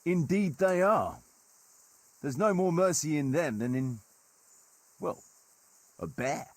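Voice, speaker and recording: An actor playing a gruff, wry medieval nobleman turned mercenary leader in a video game, telling stories in colloquial, old-fashioned turns of phrase with a husky voice. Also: on the high side, animated, slow, no room sound, exaggerated voice. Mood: wry, admiring. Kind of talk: dramatic storytelling in a video game cutscene.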